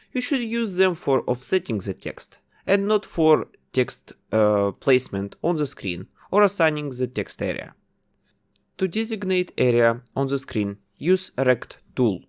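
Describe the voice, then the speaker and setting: Man narrating a software tutorial, lively pitch. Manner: methodical, instructive, explains each step. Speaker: tutorial host.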